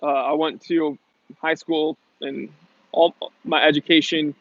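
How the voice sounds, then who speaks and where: A man's voice: a little high, little pitch movement, a little slow, no room sound. Casual livestream host: American man, laid-back jokey slang, slightly dull lo-fi audio with little room sound. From New England, USA. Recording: muffled lo-fi audio.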